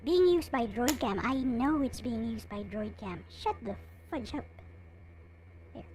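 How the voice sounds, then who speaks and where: High-pitched woman, slow casual show-and-tell. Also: animated, darkish tone, a little hiss or hum. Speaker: vlogger showing collectible figures.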